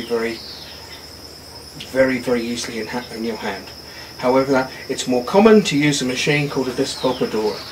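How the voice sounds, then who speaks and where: A man's voice: medium pitch, distant mic, a little hiss or hum, low-quality recording. A man guiding a tour of his coffee farm, patiently explaining step by step with the odd filler word, recorded outdoors. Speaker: coffee farm owner and guide.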